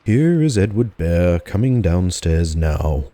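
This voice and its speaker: A man giving a relaxed, dramatised narration of a children's storybook as an audiobook narrator. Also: low-pitched, slow, dry recording.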